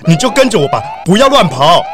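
Very high-voiced earnest police officer. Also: male voice, sing-song, quick pace. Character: earnest, dutiful police squad leader. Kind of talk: children's audio drama acting. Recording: crowd clamour and noisy ambience behind.

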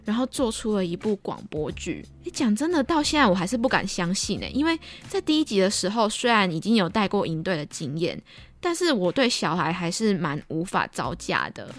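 A woman's voice: mid-range voice, very animated, brisk. A young woman with a Taiwanese Mandarin accent hosting a podcast in a casual, chatty, giggly and self-deprecating way. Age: young adult. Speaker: podcast host. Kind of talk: casual chat. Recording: background music behind the voice. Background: music.

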